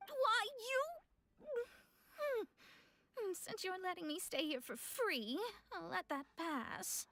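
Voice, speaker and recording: A woman's voice: extremely high pitch, big pitch swings, slow, sharp tone, full character voice. A woman voicing a proud young female astrologist in a fantasy video game, speaking in a poised, formal, theatrical way. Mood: proud, composed.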